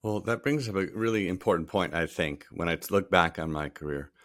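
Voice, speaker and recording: A man in his sixties from Rhode Island, a podcast guest recalling his career in a relaxed, storytelling way, often trailing off softly at the ends of phrases. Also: sits low, a little fast, no echo, clean studio sound. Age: 60s. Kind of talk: casual interview.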